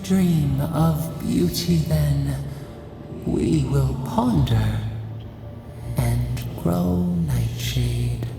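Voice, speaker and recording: A woman's voice: a bass voice, gravelly, exaggerated voice. A woman voicing an ancient, solemn plant spirit in a video game, chanting cryptic riddles in an incantatory way. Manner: slow, incantatory, speaking in riddles. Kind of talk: scripted video game acting. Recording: echoey, long reverb, under loud music.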